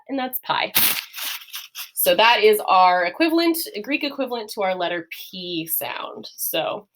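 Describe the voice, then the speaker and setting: A young woman teacher calmly explaining letters step by step, often laughing a little as she talks. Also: medium pitch. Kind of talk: classroom lesson explaining letters.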